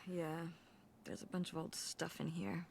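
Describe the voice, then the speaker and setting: A woman's voice: lowish voice, flat delivery, measured pace, gravelly, noisy background. A woman playing a practical, dryly sceptical middle-aged mother in a naturalistic video game performance.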